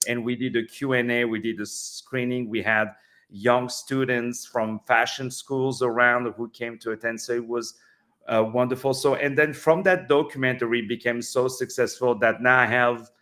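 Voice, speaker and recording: French guy in an interview, jokey and effusive, middle-aged, mid-pitched voice. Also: fairly even intonation, normal speed, bright, forceful tone. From France. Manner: effusive, joking, talks with his hands. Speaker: film director and producer.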